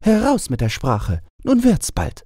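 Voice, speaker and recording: A man's voice: sits high, huge pitch swings, unhurried, dark tone, no room sound, clean studio sound, pitched above the performer's own voice, exaggerated voice. A man voicing a teasing, scolding elderly princess aunt in a mock-stern, mocking way.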